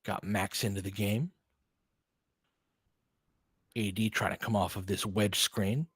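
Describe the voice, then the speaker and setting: A man's voice: fairly low pitch, breathy edge, dry recording, studio quality. Man calling basketball play-by-play. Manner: excited bursts with quick laughs. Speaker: play-by-play commentator. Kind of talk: fan play-by-play commentary.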